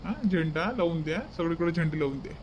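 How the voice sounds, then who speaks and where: High-pitched village priest played by a man. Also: quick pace, a little hiss or hum, close to an everyday voice. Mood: instructing, brisk. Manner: instructing, repeats his directions. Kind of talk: comedy cartoon dialogue.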